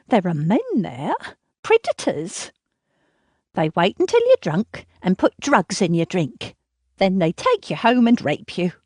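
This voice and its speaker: A woman's voice: no echo, clean studio sound. A woman voicing a cruel, self-pitying, volatile middle-aged mother who berates and pleads by turns. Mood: pleading. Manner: shrill, berating, swinging to sobbing pleas.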